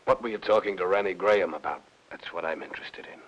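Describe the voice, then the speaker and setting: Actor for irritable noir invalid husband: low, terse, distrustful, on dull hissy vintage soundtrack. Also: male voice, fast talker, close to an everyday voice. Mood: suspicious. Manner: terse, interrogating. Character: suspicious, brooding bedridden husband, demanding and irritable. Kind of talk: 1950s film noir acting. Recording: dull, noisy old film soundtrack.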